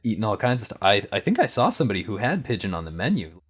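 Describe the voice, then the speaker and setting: A man's voice: fairly low pitch, quick pace, breathy edge. Man playing eager puppy-dog young guy. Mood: casual, earnest. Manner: excitable, naive. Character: enthusiastic, puppy-dog eager young male American tourist.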